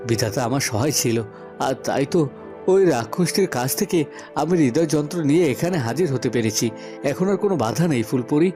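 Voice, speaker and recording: A man's voice: on the high side, lightly acted. A man voicing an earnest young prince in a melodramatic cartoon, often stammering and repeating his words. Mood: relieved, hopeful. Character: earnest young prince, devoted lover, easily frightened.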